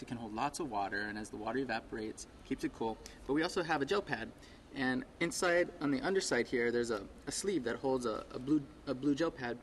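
A man's voice: mid-range voice, a little hiss or hum. A man in his thirties, a product inventor, answering interview questions in an eager, um-filled way into a reporter's handheld mic in an expo hall. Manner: quick, eager explaining, many ums. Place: large indoor expo hall.

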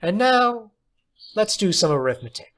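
Man acting as eccentric woman teacher, eerie, portentous, raised pitch, deliberate pace, audiobook character. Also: sing-song, fairly bright tone, exaggerated voice. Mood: coolly reassuring. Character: eccentric woman teacher telling children's fortunes, dreamy, eerie.

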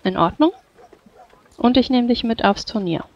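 Gentle, coaxing woman, slightly dull recording. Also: on the high side, expressive, moderate pace. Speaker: tabletop game player. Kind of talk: in-character improvised dialogue. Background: faint music.

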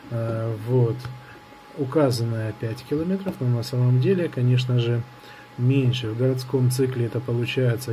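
A man's voice: mid-range voice, noisy background. A young man talking casually in a product review, rambling with many fillers and restarts. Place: indoors at a wooden table.

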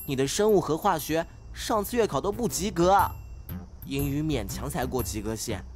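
An actor playing an earnest, oblivious teenage class monitor who talks in a preachy, naive way in an audio drama. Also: male voice, on the high side, expressive, normal speed, darkish tone, faint music behind, lightly acted. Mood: earnest lecturing. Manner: preachy, matter-of-fact, naive. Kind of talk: modern audio drama acting.

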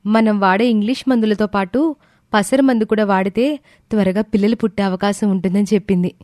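A woman's voice: mid-range voice, steady tone, fairly quick, darkish tone, no echo, studio quality, close to an everyday voice. A woman playing a sorrowful, meek young wife with a plaintive manner in a story drama. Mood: subdued.

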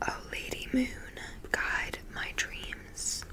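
A young woman whispering breathily in an ASMR roleplay, gently teaching as a witch in an unhurried way. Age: young adult.

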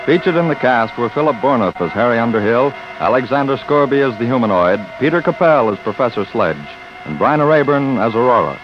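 A man's voice: mid-range voice, expressive, a little fast, few pauses. A man announcing formally and dramatically in a 1950s radio style. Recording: dull 1950s radio recording. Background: faint music.

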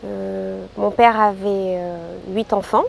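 A woman's voice: medium pitch, fairly even intonation, takes it slowly, vocal fry, slight background noise. A young adult woman from Cameroon, giving a personal testimony outdoors in a park, with a hesitant way of talking and many fillers. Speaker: patient interviewee.

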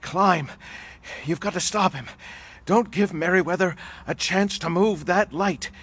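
Urgent, high-pitched young action hero. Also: male voice, a little slow, fairly bright tone, husky, full character voice. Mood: urgent, desperate. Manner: urgent, commanding. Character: young male agent shouting urgent orders, brave, desperate. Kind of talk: pulp thriller audio drama acting.

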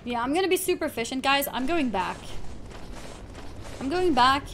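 A woman's voice: high voice, animated, normal speed, a bit bright. A young adult woman chatting casually while streaming a game. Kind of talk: casual gaming chat. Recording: clear background noise, keyboard typing.

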